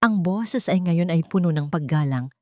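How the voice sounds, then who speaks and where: Give the narration to a woman with a fairly low voice. She tells a scripted drama as a storyteller, and the sound is dry with little room in it. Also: lively intonation, normal speed, no room sound.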